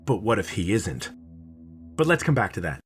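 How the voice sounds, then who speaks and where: A man narrating a scripted video essay in a dramatic, expressive storytelling manner, with casual swearing. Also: lowish voice, normal speed, faint music behind. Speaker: video host, reviewer.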